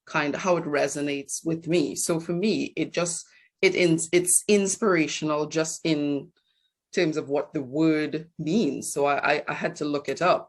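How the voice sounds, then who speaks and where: A woman in her forties from Trinidad and Tobago, an HR executive giving a reflective personal talk at a launch event. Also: deep voice. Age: 40s. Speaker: guest speaker, HR executive.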